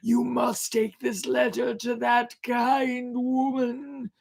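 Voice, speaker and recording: A reader voicing a weary ghostly sailor who pleads in a high, strained, sobbing voice. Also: level tone, takes it slowly, creaky. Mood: anguished. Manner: drawn out, crying and shouting by turns.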